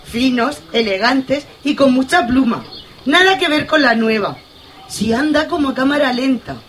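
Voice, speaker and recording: A woman playing a gossipy, excitable farmyard hen in a comic radio play. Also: high-pitched, a little slow. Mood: indignant, admiring. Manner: gossipy, exclamatory, colloquial. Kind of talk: comic radio theatre acting.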